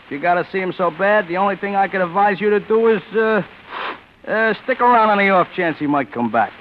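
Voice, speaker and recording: An actor playing a gruff, impatient man with premises to rent in a 1940s radio drama, curt and irritable. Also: high voice, animated, fairly quick. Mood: irritated. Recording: tinny, faintly noisy old radio broadcast.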